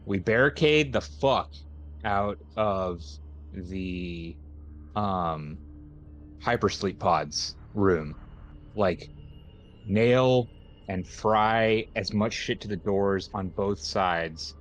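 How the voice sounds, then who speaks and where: A man playing a tabletop roleplaying game, casually pitching plans to the group. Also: extremely slow, a little hiss or hum. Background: background music.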